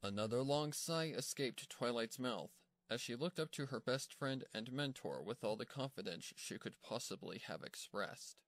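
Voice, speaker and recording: A man narrates a story from a script in a medium-pitched voice. The recording is dry, with very little room sound. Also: expressive, normal speed, hardly any pauses, fairly bright tone, no echo. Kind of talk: scripted fan-fiction narration.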